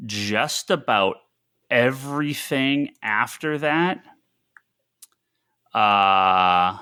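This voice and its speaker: A man co-hosting a casual podcast on industry news, talking in a halting, thinking-aloud way with emphatic repetition. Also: mid-range voice, lively intonation, pushed and bright, clean tone. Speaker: podcast co-host who runs a comics store.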